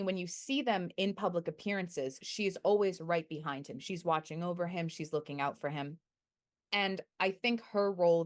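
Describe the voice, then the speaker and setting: A young adult woman answering interview questions as a researcher, thoughtful and measured, sometimes correcting herself. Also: on the low side. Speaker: guest researcher.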